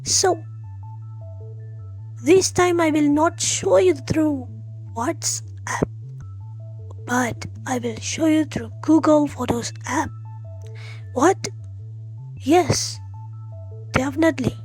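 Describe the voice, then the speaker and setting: Slow, high-voiced woman teaching tech. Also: a little mellow, soft background music. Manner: halting, friendly, addresses viewers directly. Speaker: tech tutorial host. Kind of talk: spoken tutorial walkthrough.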